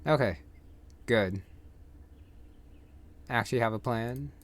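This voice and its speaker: A man's voice: medium pitch, takes it slowly, noisy background. A man giving casual gameplay commentary as a streamer, rambling and thinking aloud as he plays. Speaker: gaming streamer. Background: game music.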